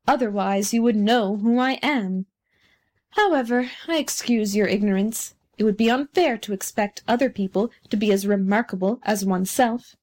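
A woman's voice: medium pitch, moderate pace, a little mellow, natural voice. A woman voicing a vain, haughty male firework rocket from a storybook, speaking in a pompous, condescending way. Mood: haughty, disdainful. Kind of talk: storybook character reading.

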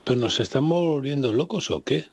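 A male radio caller with a Spanish accent from Spain. His voice is gravelly and husky, with lively pitch movement. He rants about sport in exasperated disbelief. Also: a little fast, no room sound. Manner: exasperated, incredulous. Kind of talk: impassioned sports rant.

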